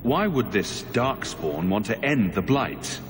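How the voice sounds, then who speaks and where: A man's voice: fairly low pitch, very animated, slow. An actor playing a guarded young male hero mage in a fantasy game, asking direct questions. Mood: wary, questioning. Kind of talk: dramatic fantasy game acting. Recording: long reverb, clear music behind.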